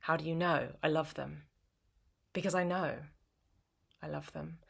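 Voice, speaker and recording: Young woman, deep gravelly voice, for intimate dramatic spoken word. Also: fairly even intonation, measured pace. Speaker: poetry performer.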